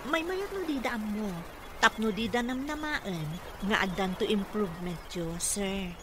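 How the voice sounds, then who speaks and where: A woman's voice: mid-range voice, measured pace, natural voice. A woman with an Ilocano accent playing a polite, patient caregiver in a radio comedy drama. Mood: explaining. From Philippines. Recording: clearly noisy background ambience.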